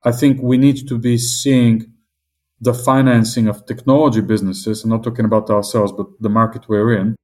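A middle-aged man from Southern Africa with a non-British accent, answering interview questions as an investment executive in a measured, optimistic, businesslike way. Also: medium pitch, little pitch movement, a little mellow. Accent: non-British English accent.

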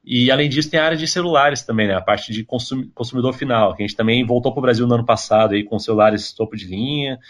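A man's voice: mid-range voice, quick pace, hardly any pauses. A man from Brazil, a guest in a casual podcast interview, explaining at length with frequent fillers and tag questions. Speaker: interview guest, tech company employee.

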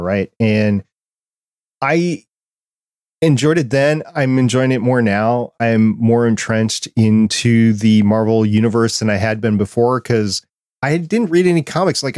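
A man's voice: lowish voice, darkish tone, dry recording, clean studio sound. A middle-aged man speaking as a podcast co-host in a casual fan discussion.

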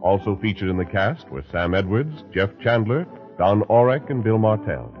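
Lively, deep-voiced American vintage radio announcer man. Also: moderate pace, soft background music. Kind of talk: 1940s radio announcing, scripted. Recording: dull 1940s radio recording.

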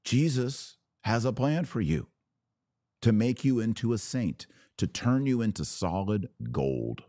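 A man's voice: medium pitch, animated, measured pace, mellow, no echo, clean studio sound. An American man hosting a podcast, giving impassioned off-the-cuff religious commentary in a preachy, emphatic way with punchy phrases. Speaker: podcast host taking viewer questions.

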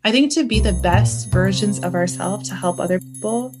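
A woman in her thirties speaks as a guest in a casual podcast conversation. Her voice sits at a medium pitch. She is from Pakistan and Northern California and works as an educator. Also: normal speed, music in the background. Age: 30s.

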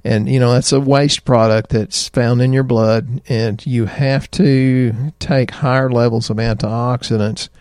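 A middle-aged man with a Southern American accent, a doctor chatting in a folksy, rambling way about health, his voice slightly hoarse. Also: medium pitch, fairly even intonation, a little slow, few pauses, darkish tone, no echo, clean studio sound. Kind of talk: health talk show chat.

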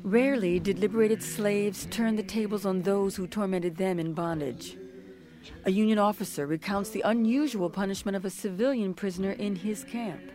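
A woman narrator reading scripted history in a measured, formal manner. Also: mid-range voice.

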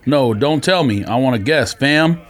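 A man's voice: mid-range voice, lively intonation, normal speed. A man co-hosting a podcast in casual banter, slangy and argumentative. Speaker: podcast co-host.

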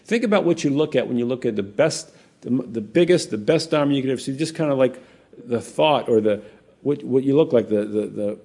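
A male pastor teaching verse by verse in a casual, animated way, with frequent restarts and asides to the congregation. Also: mid-range voice, a little fast, husky. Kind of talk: conversational verse-by-verse Bible teaching.

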